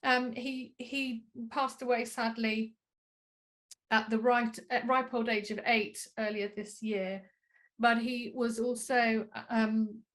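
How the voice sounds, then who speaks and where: A woman's voice: fairly high pitch, poor audio. A woman hosting an online conference, politely and appreciatively thanking and introducing speakers from her home. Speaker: conference host. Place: at home, on a video call.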